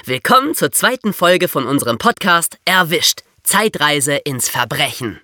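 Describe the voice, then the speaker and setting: A man's voice: on the high side, very animated, a little slow, pushed and bright, dry recording, clean studio sound. A man co-hosting a podcast, presenting and narrating in a scripted, storytelling style. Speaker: podcast co-host.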